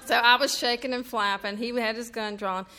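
A woman's voice: fairly high pitch, fairly even intonation, normal speed, pushed and bright, some chatter behind. A middle-aged woman from North Carolina with a Southern accent, giving a chatty, self-deprecating humorous talk to an audience. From North Carolina, USA. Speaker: author speaking at a reading. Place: event room before a live audience.

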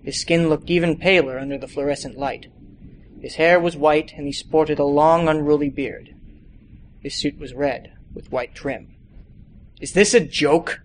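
A man's voice: a little high, steady tone, moderate pace, a little hiss or hum, rough recording. A young man reading a detective short story aloud as scripted narration. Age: young adult.

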